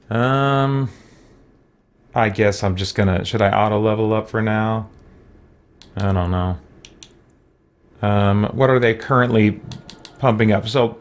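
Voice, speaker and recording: A man hosting a let's play, thinking aloud casually and playfully as he works through a game. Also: on the low side.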